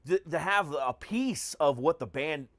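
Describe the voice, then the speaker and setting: An American man hosting a podcast in casual chat, halting and often reworking his phrases as he goes. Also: fairly high pitch, expressive, a bit bright, no room sound. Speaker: podcast host.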